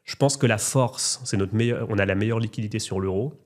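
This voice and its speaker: A man with a somewhat low voice, talking as the company's representative for France. He explains figures and markets in an assured, explanatory way. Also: brisk. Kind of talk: business interview.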